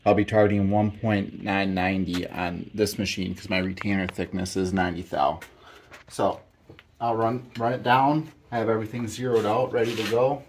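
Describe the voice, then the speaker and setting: A middle-aged man giving a casual, halting how-to narration, thinking aloud as he works with his hands. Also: on the low side, slight background noise. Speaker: hobbyist mechanic demonstrating a repair. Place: home garage workshop, indoors.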